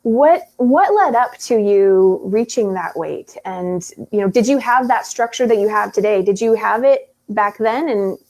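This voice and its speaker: A woman's voice: medium pitch, lively intonation, measured pace, few pauses, darkish tone, poor audio. Woman hosting a friendly live interview. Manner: encouraging, reactive. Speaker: show host, coach. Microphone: video call.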